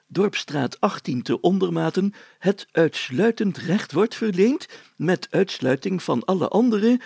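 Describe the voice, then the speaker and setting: A man voicing a pompous, excitable village mayor in an audiobook story, declaiming in grand, solemn phrases. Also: on the high side, vocal fry, slightly rough, dry recording, pitched above the performer's own voice, full character voice. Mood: proud, congratulating.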